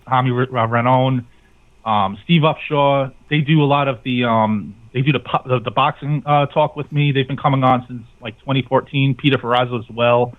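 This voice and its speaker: A man speaking as a guest in a casual interview, talking about his sports and comedy radio network. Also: mid-range voice. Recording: thin phone-like radio call-in line.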